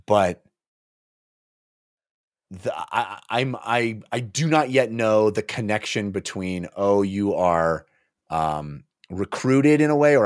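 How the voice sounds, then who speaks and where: Middle aged guy, deep voice, close dry mic, chatting about a book, kinda halting and thoughtful. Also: no echo. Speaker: podcast co-host. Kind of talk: casual book discussion. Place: small home room, indoors. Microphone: close desk microphone on boom arm.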